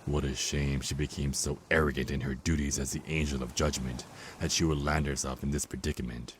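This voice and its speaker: An actor playing a cruel, contemptuous Archangel of Death in an audio drama, with a mocking, theatrical delivery. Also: male voice, a bass voice, level tone, fairly quick, a little hiss or hum. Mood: mocking, gloating. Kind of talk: dramatic villain monologue in audio roleplay.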